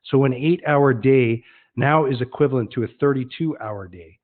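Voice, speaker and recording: A man giving an informal online software sales demo, explaining conversationally and leaning on key phrases. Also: medium pitch, moderate pace. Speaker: product presenter. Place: online web demonstration call. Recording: muffled phone-like narrow-band audio.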